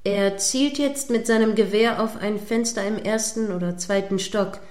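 A woman's voice: mid-range voice, fairly even intonation, moderate pace, a little mellow, natural voice. A woman playing an indignant, moralizing radio reporter giving live commentary on a standoff in a radio play.

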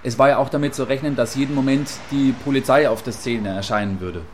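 Radio play casting: actor as chatty male on-scene reporter, mid-pitched, deferential, slightly hesitant, faint location noise. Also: fast, darkish tone, natural voice. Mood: matter-of-fact. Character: eager, apologetic male field reporter giving eyewitness account. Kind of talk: satirical radio play as live news report.

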